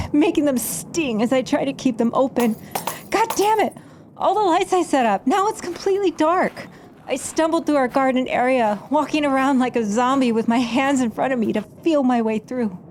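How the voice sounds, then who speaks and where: A woman's voice: sits high, moderate pace, a little hiss or hum, natural voice. A woman playing an embittered, defiant stage magician in an audio drama, narrating her own story with dramatic intensity. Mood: furious, shouting. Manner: dramatic, swings from hushed narration to angry outbursts. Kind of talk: audio drama acting with first-person narration.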